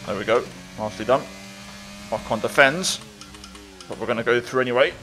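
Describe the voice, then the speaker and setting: A man commentates casually over racing gameplay. His voice is medium in pitch, with faint music and engine sound behind it. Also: brisk, sharp tone. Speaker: gaming video creator.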